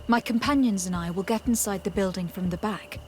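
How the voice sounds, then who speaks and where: An actress playing a composed noble envoy and leader in a video game, speaking formally and with measured authority. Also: female voice, medium pitch, a little hiss or hum, close to an everyday voice. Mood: composed, commanding. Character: noble female envoy, composed young leader giving orders. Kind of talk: scripted video game voice acting.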